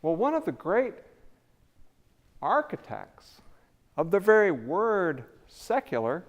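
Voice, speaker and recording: Animated older man lecturing in a church. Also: high voice, slow pace, dull audio. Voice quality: big voice. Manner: animated, conversational, rising emphasis on key words. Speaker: visiting scholar lecturer. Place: church sanctuary. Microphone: podium mic, then away from mic.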